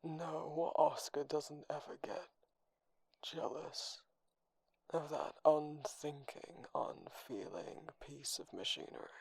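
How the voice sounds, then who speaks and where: A man plays a haughty, sulky vintage computer from the eighties in an ASMR roleplay. The voice is heavily gravelly and breathy, slow and curt, with deadpan delivery that sounds easily offended. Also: fairly high pitch, no room sound, full character voice, poor audio. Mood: indignant. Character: grumpy, haughty sentient 1980s computer boyfriend.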